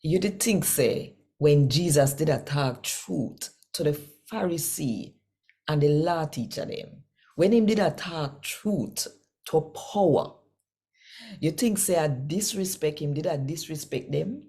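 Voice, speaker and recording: A woman in her sixties with a Jamaican accent, reading scripture aloud as an expressive storyteller, conversational and emphatic. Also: low-pitched, unhurried. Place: small indoor room at home.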